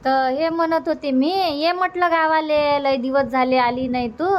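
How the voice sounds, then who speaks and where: A woman acts out a middle-aged village mother in a cartoon comedy. She has a very high voice and coaxes in homely, colloquial Varhadi Marathi. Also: steady tone, normal speed, dull audio, lightly acted. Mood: coaxing.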